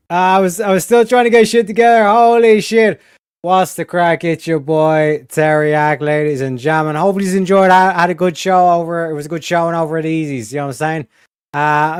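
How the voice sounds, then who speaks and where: A man's voice: on the high side, smooth, no echo, clean studio sound. A man hosting a late-night livestream, chatting casually to his viewers in a rambling, slangy, profane way, full of fillers. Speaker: livestream host.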